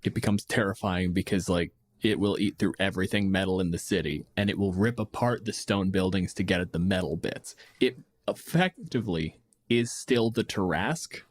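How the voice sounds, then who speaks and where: A man's voice: low voice, moderate pace. A man co-hosting a podcast, chatting casually about tabletop games in a blunt, profane, joking way. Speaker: podcast host.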